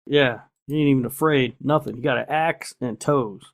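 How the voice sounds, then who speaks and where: A man's voice: mid-range voice, normal speed. A man co-hosting a podcast, talking casually in a blunt, laid-back, foul-mouthed way. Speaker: podcast host. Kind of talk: casual chat.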